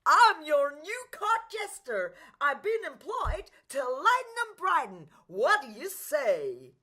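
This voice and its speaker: A woman voicing an excitable, theatrical male court jester in a radio play, with a sing-song, exaggerated, pleading delivery. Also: high voice, slow, pitched above the performer's own voice, full character voice. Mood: showy cheer. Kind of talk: stage musical radio play acting.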